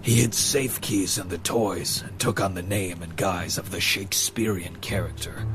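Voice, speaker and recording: Stern male pulp hero, acted, low-voiced, formal and commanding, unhurried over a music bed. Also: fairly even intonation, natural voice. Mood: stern, measured. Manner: formal, commanding, deliberate diction. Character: stern, solemn masked male crime-fighting hero. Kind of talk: pulp radio drama acting.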